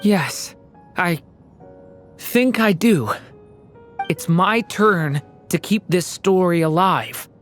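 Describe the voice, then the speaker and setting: A woman playing a gentle, earnest young boy who misses his mother, in a video game, speaking softly and hesitantly. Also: lowish voice, animated, slow pace, pauses often. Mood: hesitant, resolved. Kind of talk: dramatic video game voice acting. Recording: music behind the voice.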